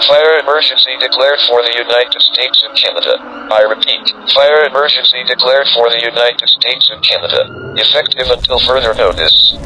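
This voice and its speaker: A man voicing a flat male emergency alert announcer in a scripted broadcast. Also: medium pitch, moderate pace, natural voice, low-quality recording.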